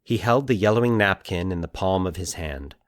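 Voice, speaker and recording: A man narrating a scripted, eerie fiction story as an expressive storyteller. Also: deep voice, brisk, dry recording, studio quality.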